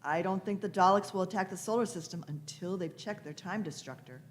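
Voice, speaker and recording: A woman's voice: on the low side, brisk, close to an everyday voice. A woman playing an eccentric, imperious elderly time traveller in a radio play, fussily humming and repeating words. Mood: fond, thoughtful. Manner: fussy, repeated words and hums. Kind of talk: live radio play reading.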